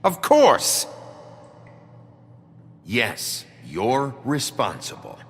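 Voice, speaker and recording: An actor playing a gruff, blunt town sheriff in a video game, terse and confrontational. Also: male voice, medium pitch, huge pitch swings, very slow, exaggerated voice. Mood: angry, defiant. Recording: echoey hall, music behind.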